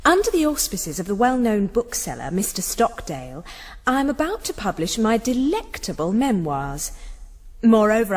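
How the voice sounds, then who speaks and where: A woman's voice: on the high side, long reverb tail. A woman playing a saucy, gleeful London courtesan in a historical radio drama, arch, playful and mannered.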